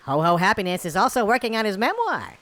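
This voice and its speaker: A woman's voice: medium pitch, very animated, fast, fairly bright tone. A woman playing a chipper, wry reindeer who serves as a loyal assistant in a comedy audio drama. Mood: wry, eager.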